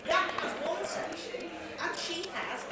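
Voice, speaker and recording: A woman's voice: very high voice, slow. A woman chatting casually. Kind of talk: casual chat. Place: busy pub. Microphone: off-mic, some distance away. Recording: distant, echoey, noisy crowded pub.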